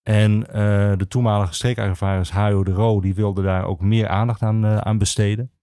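Historian guy the explaining stuff, mellow lowish voice, hesitant, super dry sound. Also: little pitch movement, moderate pace, no echo. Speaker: guest, archivist and historian. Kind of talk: explanatory interview talk.

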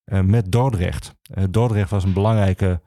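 A man the explains history informally as an expert guest, halting and repeating himself as he searches for words. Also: deep voice, steady tone, mellow. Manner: hesitant, halting, with many pauses and repetitions. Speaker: archivist, podcast guest.

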